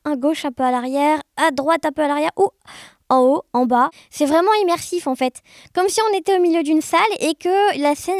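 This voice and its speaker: A woman's voice: sits high, darkish tone, no echo. A woman answers in a casual interview. She talks informally and with animation, in a very dry recording. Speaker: interview guest, amateur audio fiction maker.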